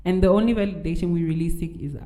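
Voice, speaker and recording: A woman's voice: lowish voice, racing, warm tone. A woman from Namibia with a mixed Nigerian and Namibian accent, speaking as a podcast guest in a candid, encouraging, emphatic way. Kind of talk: casual conversation. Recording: echoey room.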